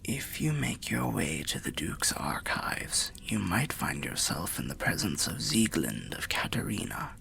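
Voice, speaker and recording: Man voicing gravelly, whispering undead storyteller. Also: low-pitched, very animated, sharp tone, full character voice. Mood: wry, musing. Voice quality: breathy, gravelly half-whisper. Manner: slow, confiding, old-fashioned storyteller phrasing. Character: weary undead storyteller by a bonfire, wry, cautious. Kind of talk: ASMR fantasy roleplay monologue.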